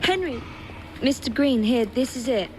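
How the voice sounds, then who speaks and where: A woman with a British accent playing a brisk, confident young assassin in a video game, with a wry manner. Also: high voice, animated, background noise. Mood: brisk, keen. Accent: British English. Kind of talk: video game dialogue acting.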